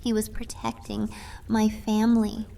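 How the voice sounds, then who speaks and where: An actress playing an earnest yet sly fortune-telling witch in an audio drama, with a soft way of speaking. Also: mid-range voice, monotone, measured pace, vocal fry, rough recording. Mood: worried, reproachful.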